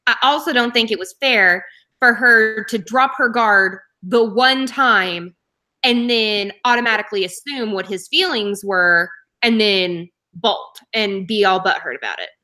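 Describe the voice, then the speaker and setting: A woman's voice: medium pitch, bright, forceful tone. A woman from Oklahoma giving frank, matter-of-fact opinions in a casual book club chat.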